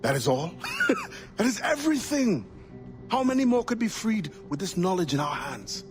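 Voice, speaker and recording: Impassioned pirate guy, idealistic, swoopy expressive pitch, game cutscene with music under him. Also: moderate pace. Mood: fervent. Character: bold, idealistic male freedom-fighting pirate. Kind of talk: dramatic video game acting.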